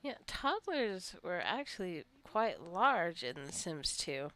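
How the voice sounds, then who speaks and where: A woman giving casual, rambling gameplay commentary as a let's-play host, musing aloud to the game's characters in a creaky voice. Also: lowish voice, sing-song, slow pace, a bit bright.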